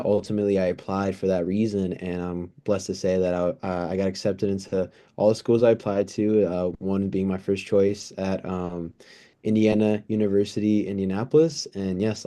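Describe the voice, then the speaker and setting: A man in his twenties giving earnest, thoughtful, somewhat halting answers in a casual interview. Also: sits low, steady tone, warm tone. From United States, born in El Salvador. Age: 20s. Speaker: interview guest, pre-physical therapy student.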